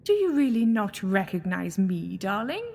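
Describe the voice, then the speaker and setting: A woman's voice: medium pitch, big pitch swings, moderate pace, creaky, a little mellow. A woman playing a sinister alien posing as a goddess, coaxing and theatrical, seductive and mocking, in an audio drama. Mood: flirtatious, mocking. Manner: coaxing, theatrical, purring endearments.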